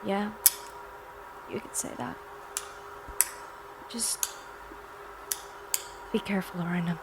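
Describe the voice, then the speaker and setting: Caring factory mother over clear background noise, woman acting. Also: medium pitch, steady tone, slow pace, lightly acted. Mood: wary. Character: protective working-class factory mother, caring, cautious. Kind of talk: audio drama acting.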